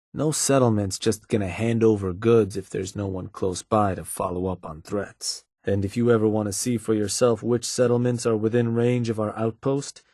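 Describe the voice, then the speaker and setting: A gruff raider advisor from a video game, speaks in a low, raspy voice. He is shrewd and businesslike, laying out options to his boss in casual, clipped phrases. The sound is dry and close. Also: darkish tone, no echo. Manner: laid-back, businesslike, colloquial delivery with clipped phrases. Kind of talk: video game character dialogue.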